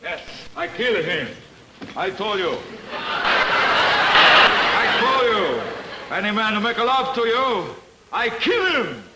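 Slow, menacing outlaw. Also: male voice, high voice, very animated, full character voice. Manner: threatening, broken-English delivery. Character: menacing, jealous male Western outlaw, played comically. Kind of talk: 1950s television western parody. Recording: noisy, echoey 1950s live television recording.

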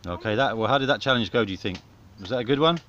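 A man's voice: medium pitch, lively intonation, rapid-fire, a bit bright. A middle-aged man giving casual, playful commentary on a home video in a jokey, mock-dramatic way. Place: small back garden, outdoors. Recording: muffled, noisy outdoor camera audio with clicks.

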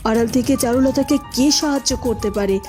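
A woman's voice: sits high, fast talker, darkish tone, soft background music, lightly acted. A woman voicing a scheming, desperate evil queen in a fairy-tale cartoon, appealing with anxious urgency. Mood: panicked, scheming.

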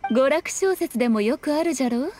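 A woman's voice: high-pitched, animated, dark tone, slight background noise. A woman voicing a sly, haughty fox shrine priestess in a game, speaking in an archaic, lofty manner. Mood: sly, teasing. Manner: archaic, lofty, unhurried speech. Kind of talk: voiced game dialogue. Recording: game music behind the voice.

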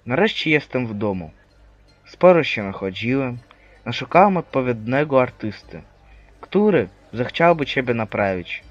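A man playing a poor, humble friar in an animated religious play, speaking earnestly and plainly. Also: medium pitch, normal speed, muffled recording.

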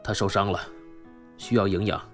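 A man's voice: on the low side, level tone, moderate pace, soft background music, natural voice. A man acting a brooding, guilt-ridden boss in an audio drama, speaking in restrained, terse phrases. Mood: subdued, earnest.

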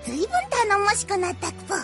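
Squeaky cheerful little moogle creature. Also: female voice, animated, a little fast, music in the background. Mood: earnest, encouraging. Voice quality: squeaky, childlike. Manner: ends sentences with a cute verbal tic. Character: small cheerful loyal moogle creature, childlike. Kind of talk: Japanese audio drama acting.

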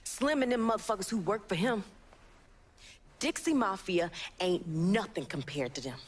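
A woman's voice: mid-range voice, expressive, moderate pace, breathy edge. A woman playing a tough, defiant young American activist in a video game, blunt and bossy. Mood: hard, defiant. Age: young adult. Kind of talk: video game cutscene acting.

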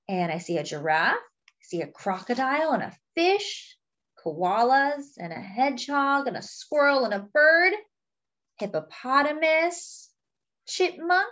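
A woman's voice: sits high, a bit bright, dull audio. A woman reading a picture book aloud to young children, in simple phrases as a children's storyteller.

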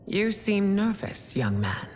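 An actress playing a regal, stern middle-aged empress in a fantasy video game, speaking formally and imperiously. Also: female voice, mid-range voice, big pitch swings, takes it slowly, a little mellow, a little hiss or hum. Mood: cool, stern. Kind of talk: video game cinematic acting.

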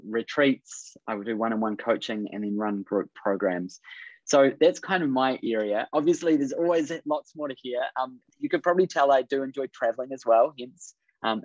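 A man's voice: mid-range voice, no room sound. A man in his thirties with a New Zealand accent, hosting a webinar over a video call in an upbeat, encouraging way with many fillers. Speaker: business coach, webinar host. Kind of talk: casual webinar presentation. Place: indoor room on video call. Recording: dry, dull video call audio.

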